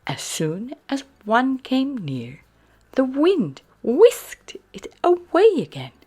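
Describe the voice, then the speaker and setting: A woman narrating a children's picture book aloud in a slow, soft, very expressive way. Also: sits high, slightly rough. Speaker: storyteller.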